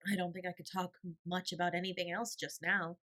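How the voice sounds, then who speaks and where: Storybook sickly gentleman, read by a woman: worn out, anxious, miserable, prone to fretting. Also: on the low side, level tone, fairly quick. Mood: tired, gentle. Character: weary, fretful invalid gentleman, miserable and anxious. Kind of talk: storybook character voice.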